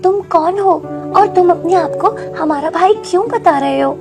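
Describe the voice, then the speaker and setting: Caring, resigned eighteen-year-old sister, voiced by a woman, gentle storybook delivery, high voice over faint music. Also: fairly even intonation, normal speed. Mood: surprised.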